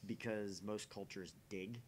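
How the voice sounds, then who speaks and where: A man with a somewhat low voice chats as co-host of a game stream. He lays out his thinking in a casual, explanatory way, restarting and repeating words as he goes. The recording carries a faint low rumble. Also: fairly even intonation, measured pace. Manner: explains at length with repetition and restarts. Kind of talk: casual chat, opinionated musing.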